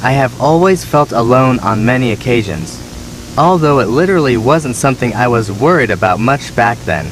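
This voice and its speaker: A man's voice: mid-range voice, expressive, moderate pace, lightly acted. Neglected younger son played by a man, noisy recording. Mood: wistful, resigned. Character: neglected, resentful younger son recounting childhood punishments. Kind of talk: scripted first-person horror story narration.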